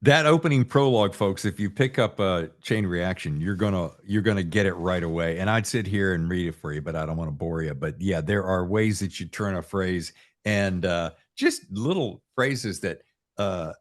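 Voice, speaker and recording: Male podcast host, lower register, folksy and enthusiastic, chatting informally with guests. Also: normal speed. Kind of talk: casual interview chat.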